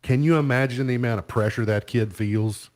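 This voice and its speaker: A man's voice: mid-range voice, brisk, a little mellow. A man hosting a radio show, chatting casually through entertainment news in an easygoing way and sometimes trailing off mid-sentence.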